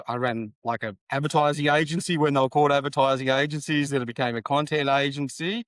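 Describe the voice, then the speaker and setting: A man's voice: mid-range voice, a bit bright, dry recording. A man in his fifties from Australia with a broad Australian accent, talking as a guest in an upbeat, plainspoken way with local slang. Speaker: guest, sponsorship sales business owner. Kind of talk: casual interview talk.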